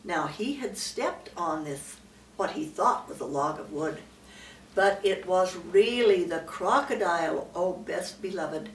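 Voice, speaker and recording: A woman's voice: fairly low pitch, animated, measured pace, slight background noise. An elderly woman, a great-grandmother, reading a children's story aloud and adding small asides to the listener. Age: older, great-grandmother. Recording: roomy, echoey, slightly distant mic.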